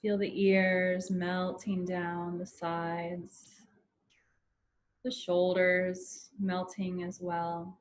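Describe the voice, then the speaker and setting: Woman doing guided meditation, real slow n soothing, flat steady pitch, lots of pauses. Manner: soothing, unhurried, with long pauses.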